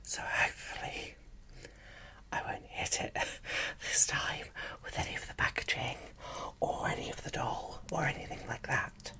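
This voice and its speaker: An ASMR host speaking in a breathy whisper, giving casual unboxing commentary slowly and softly. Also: recorded from a distance. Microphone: microphone set off to one side.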